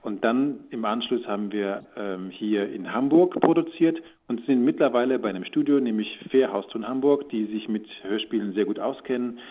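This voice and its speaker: A man's voice: mid-range voice, moderate pace, hardly any pauses, husky. A man giving casual interview answers in a searching way, often restarting and rephrasing. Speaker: audio drama producer and voice-over speaker. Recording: thin phone-like line.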